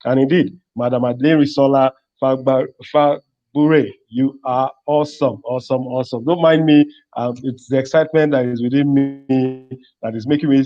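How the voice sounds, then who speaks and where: A man with a Nigerian accent giving a speech of thanks as a summit host, effusive and grandiloquent, with halting phrasing, on lo-fi call audio. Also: medium pitch, steady tone, warm tone. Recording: dull, lo-fi online call audio.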